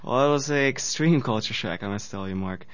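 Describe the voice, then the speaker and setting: A man's voice: lowish voice, brisk, fairly bright tone. Young man with a Polish accent. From Poland. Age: 20s. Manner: earnest, slightly nonstandard phrasing. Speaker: student guest. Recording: dull lo-fi radio recording.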